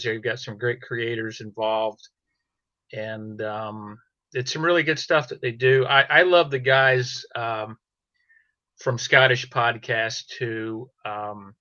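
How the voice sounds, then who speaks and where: A man chatting casually as a panel guest, halting often as he searches for words. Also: medium pitch, sharp tone, dry recording, lo-fi sound. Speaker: audio drama podcaster, panel guest.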